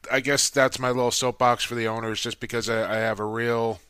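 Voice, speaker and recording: A man's voice: mid-range voice, level tone, fairly quick, a bit bright, clear, resonant tone, dry recording, clean studio sound. A man hosting a sports talk show, chatting casually and teasing his guest. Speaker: podcast host.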